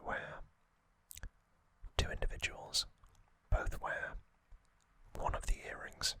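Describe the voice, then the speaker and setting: A man hosting ASMR, whispering trivia narration in a breathy, unvoiced voice with soothing, deliberate short phrases. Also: bright, forceful tone, dry recording. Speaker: ASMR host. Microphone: close microphone.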